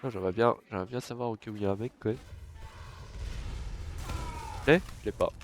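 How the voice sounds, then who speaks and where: A young man with a cold and a stuffed-up nose, casually commentating his game, chatty and cursing, with sudden excited yelps. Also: lowish voice, fast talker, a little hiss or hum. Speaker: gaming YouTuber.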